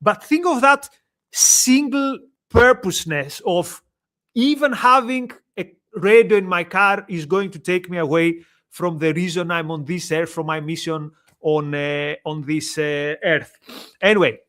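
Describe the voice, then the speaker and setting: Greek guy co-hosting, high voice, casual storytelling, kinda halting and repeats himself. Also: fairly bright tone. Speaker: show co-host.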